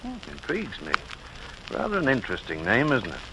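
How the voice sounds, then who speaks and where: Mild middle-aged dad in an old 40s radio play, lively up and down voice, pretty noisy recording. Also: male voice, mid-range voice, fairly quick. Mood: musing, mild. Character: mild, curious middle-aged family father, musing aloud. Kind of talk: 1940s radio acting.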